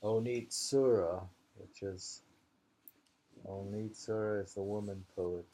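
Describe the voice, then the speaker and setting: A man reading haiku slowly and commenting on it casually, in an unhurried, musing way. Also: on the low side, steady tone, dark tone. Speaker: reader of a haiku anthology. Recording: dull lo-fi home recording.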